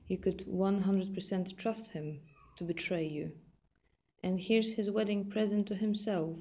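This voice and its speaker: A betrayed wife pours out sarcastic bitterness, voiced by a woman with a Polish accent. The delivery sits low and fairly flat with a mellow, dark tone. The recording is dull and lo-fi. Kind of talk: dramatic monologue recital.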